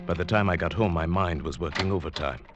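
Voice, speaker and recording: An actor playing a meek, henpecked older husband who narrates his secret plot, mild, hesitant and deliberate, in old-time radio suspense style. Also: low-pitched, lo-fi sound, loud music behind the voice, natural voice. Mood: confiding, scheming.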